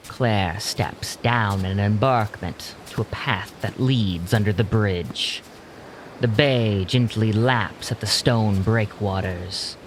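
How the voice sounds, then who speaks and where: Slow, low-voiced male narrator. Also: slight background noise, rough recording. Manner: deliberate, suspenseful storytelling. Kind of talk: scripted dramatic narration in present tense.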